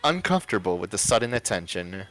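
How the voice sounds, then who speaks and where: Male livestream storyteller, lowish register, lively delivery, informal read-aloud over music. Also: quick pace. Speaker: story narrator and livestream host. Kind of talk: expressive fan fiction read-aloud with casual banter.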